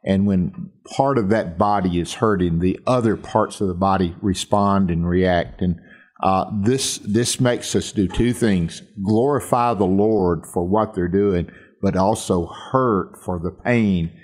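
Male radio host, lowish voice, earnest and reflective. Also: a little slow, a little mellow. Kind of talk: reflective devotional talk.

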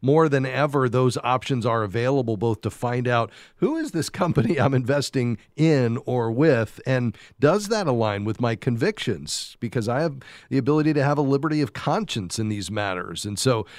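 A man's voice: medium pitch, expressive, normal speed, no echo. Male radio host with dry studio sound. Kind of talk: conversational call-in advice.

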